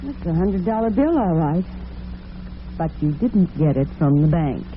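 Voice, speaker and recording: A woman's voice: fairly low pitch, very animated, unhurried. A middle-aged woman playing a suspicious, probing mother in an old-time radio drama. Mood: suspicious, insistent. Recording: tinny, noisy vintage radio broadcast.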